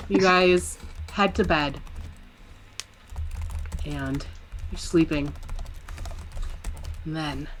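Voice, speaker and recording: Female game master, laid-back and lightly amused, slightly low-pitched, narrating the scene. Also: extremely slow, pauses often, a little hiss or hum, low-quality recording.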